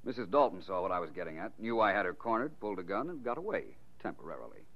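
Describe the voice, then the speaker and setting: An actor in a 1940s radio drama playing a shrewd, unflappable private detective, urbane and wry. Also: male voice, deep voice, sing-song, fast. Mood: explaining, confident. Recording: muffled vintage 1940s radio recording.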